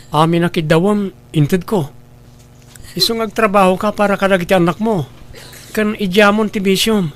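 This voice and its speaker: A man's voice: high-pitched, lightly acted. A man with an Ilocano accent playing a firm but helpful younger sibling in a radio drama. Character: firm younger sibling who helps out the elder sister.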